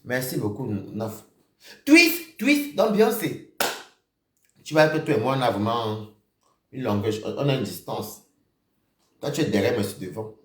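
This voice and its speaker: A man ranting on a live stream, accusing someone directly. He repeats himself and swings between shouting and muttering. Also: mid-range voice, big pitch swings, unhurried, long pauses, reverberant. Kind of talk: heated live-stream rant.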